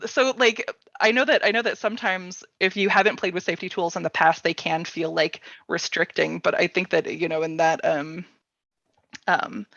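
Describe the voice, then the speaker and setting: A woman hosting a casual panel discussion, thinking aloud informally in halting phrases. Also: on the low side, steady tone, vocal fry, fairly bright tone. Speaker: workshop host and panelist. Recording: roomy, slightly dull sound.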